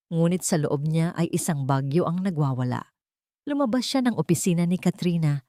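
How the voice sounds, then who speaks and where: A woman's voice: low-pitched, warm tone, no room sound, clean studio sound. A woman reading a scripted dramatic story with suspenseful, emphatic delivery.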